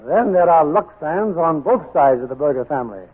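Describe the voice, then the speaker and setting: A man's voice: on the high side, lively intonation, fairly quick, husky. An American man hosting a 1940s radio show, announcing from a script in a polished, theatrical way. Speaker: host and announcer. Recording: muffled 1940s radio broadcast with music.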